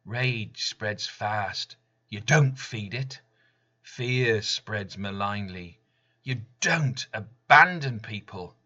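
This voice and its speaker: A middle-aged man reading his own spoken-word poem in measured, emphatic, short clipped phrases. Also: lowish voice, pushed and bright, dull audio. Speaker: poet reading own work.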